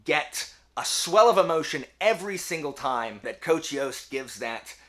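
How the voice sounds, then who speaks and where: A man's voice: on the high side, lively intonation, a little slow, a bit bright. A man chatting casually to viewers about his reading in an informal, rambling, slangy way, as host of his own video. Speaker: book reviewer, video host.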